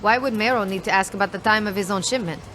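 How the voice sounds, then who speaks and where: A woman's voice: mid-range voice, quick pace, a bit bright, slight background noise, natural voice. An actress playing a tough, hard-edged female gang leader, blunt and no-nonsense, in a video game. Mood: skeptical, irritated. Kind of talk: video game cutscene acting. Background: clear music.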